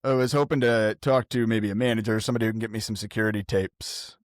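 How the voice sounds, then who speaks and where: A man playing a tabletop roleplaying game, improvising casually in a joking, halting, slangy way. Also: on the low side, steady tone, no room sound, studio quality.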